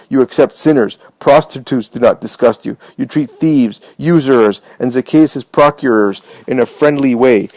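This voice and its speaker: A man reading aloud voices a furious, scornful male traitor, hoarse and panting as he shouts accusations. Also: medium pitch, no room sound, close to an everyday voice.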